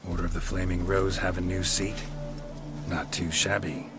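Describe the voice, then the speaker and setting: A man playing a gruff, laconic monster hunter in a video game, terse and deadpan with a low gravelly voice. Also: normal speed, over music. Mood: dry, businesslike.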